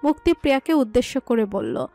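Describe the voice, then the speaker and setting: A woman's voice: on the high side, wildly swooping pitch, brisk, creaky, dark tone, faint music behind. A woman reading scripted narration for a romantic story.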